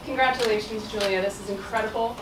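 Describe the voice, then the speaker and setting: A woman's voice: medium pitch, fairly even intonation, fast. Woman, middle-aged, speaker, conversational, fillers, echoey hall, distant, faint background hiss. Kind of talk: public talk. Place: auditorium. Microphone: no mic, room pickup from afar.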